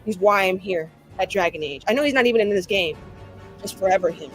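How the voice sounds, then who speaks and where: A woman's voice: mid-range voice, fast talker, soft background music. A woman playing a tabletop game, chatting casually in an emphatic, irreverent way. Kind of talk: casual chat.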